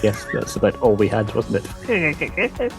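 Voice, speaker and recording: Podcast co-host, man, croaky and very low-pitched, rambling chat over a clear music bed. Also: wildly swooping pitch. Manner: chatty, rambling, quick asides. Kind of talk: casual chat.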